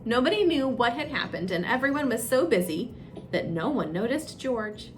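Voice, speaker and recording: A woman's voice: a little high, sing-song, normal speed, over music, poor audio. A woman reading a children's storybook aloud as a story time host, expressively, drawing out suspenseful phrases.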